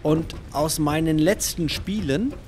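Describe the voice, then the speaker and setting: A man's voice: on the high side, slow pace, a little mellow, slight background noise. A man giving casual let's play commentary, thinking aloud and chatting to himself and his viewers.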